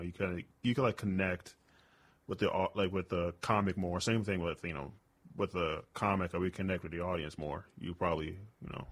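A man, a stand-up comedian, chatting casually as an interview guest, rambling with lots of "like" and "you know". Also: a bit bright. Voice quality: booming voice.

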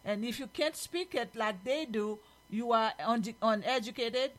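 A woman's voice: a little high, unhurried, a bit bright. A woman from Haiti with a Haitian accent, reading news aloud as a host and commenting on it in an emphatic, opinionated way.

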